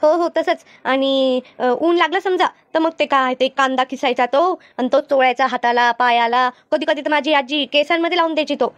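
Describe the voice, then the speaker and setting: A woman voicing a lively, teasing village friend in an animated comedy, with a rural Maharashtrian accent. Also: high-pitched, normal speed, hardly any pauses, no echo, close to an everyday voice. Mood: chatty. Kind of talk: animated cartoon comedy dialogue.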